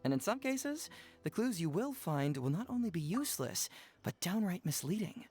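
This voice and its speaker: A man playing a composed young detective in an anime-style video game, explaining in a measured, polite way. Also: high voice, sing-song, darkish tone, husky, heavily acted. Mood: composed instruction. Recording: game music behind the voice.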